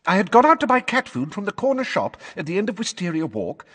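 A frail, batty old woman played by a male narrator in an audiobook, high and quavery. She sounds scared and flustered, her husky voice wavering in long reverb. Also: very animated, normal speed, heavily acted. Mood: nervous gabbling. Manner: gabbling as if reciting by heart.

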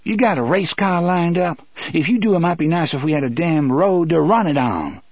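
Man voicing a feisty, sharp-tongued woman, pitched up. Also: animated, moderate pace, husky, no room sound. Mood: truculent. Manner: sassy, colloquial, combative.

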